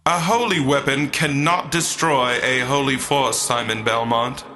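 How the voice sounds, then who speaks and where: A man playing a holy being of light in a comic audio drama, booming and declamatory, with flippant, teasing asides. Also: unhurried, bright, forceful tone, slight background noise. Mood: grand, wry. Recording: music behind the voice.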